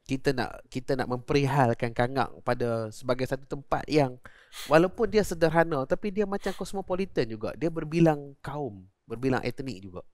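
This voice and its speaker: A man hosting a relaxed conversation, explaining words at length and affirming his guest often. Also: mid-range voice, normal speed, no echo, studio quality. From Malaysia. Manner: explanatory, chatty, frequent affirming interjections. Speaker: host. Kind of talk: conversational interview.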